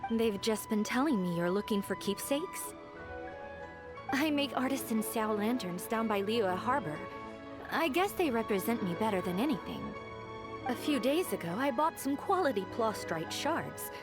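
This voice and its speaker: An actress playing a courteous, sentimental lantern artisan in a video game, speaking politely and gently. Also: female voice, fairly high pitch, lively intonation, moderate pace, music in the background, lightly acted.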